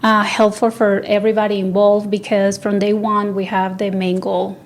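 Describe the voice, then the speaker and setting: A woman's voice: mid-range voice, little pitch movement, moderate pace, slight background noise. A woman from Venezuela who owns an interior design studio, answering interview questions in a radio studio, with frequent fillers and restarts. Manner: hesitant, many fillers and restarts.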